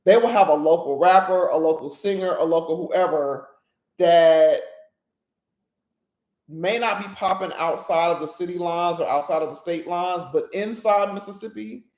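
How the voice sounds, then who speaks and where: A woman's voice: fairly low pitch, measured pace, a little mellow. Emphatic woman podcaster in a roomy space. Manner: emphatic, keeps checking in with listeners; playful, laughs at her own jokes. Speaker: podcast host. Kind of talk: casual solo podcast monologue.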